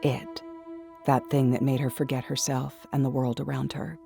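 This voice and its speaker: Gravelly male narrator of intense drama. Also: mid-range voice, level tone, moderate pace, warm tone. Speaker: story narrator. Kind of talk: dramatic first-person scripted narration. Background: music.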